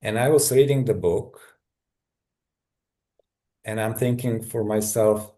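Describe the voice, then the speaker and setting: A middle-aged man from Czechoslovakia with a Czech accent, a guest telling his story in a halting, thoughtful way with many restarts. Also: on the low side, fairly even intonation, dark tone. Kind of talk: personal story in a conversation.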